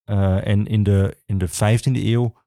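A man the explains history informally as an expert guest, halting and repeating himself as he searches for words. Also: lowish voice, steady tone, mellow, no room sound, studio quality. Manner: hesitant, halting, with many pauses and repetitions. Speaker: archivist, podcast guest.

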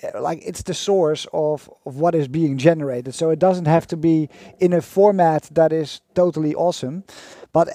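A man co-hosting a podcast, talking in a halting way with many fillers and restarts. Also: on the high side, fairly even intonation, dark tone, dry recording, studio quality. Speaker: podcast co-host. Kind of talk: conversational podcast chat.

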